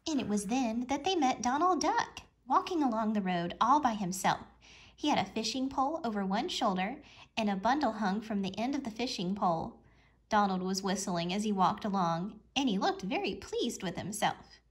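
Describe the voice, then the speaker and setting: A woman narrates a picture book for young children. Her voice is fairly high, and she tells the story expressively and deliberately. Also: lively intonation. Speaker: storybook reader. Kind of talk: children's read-aloud narration.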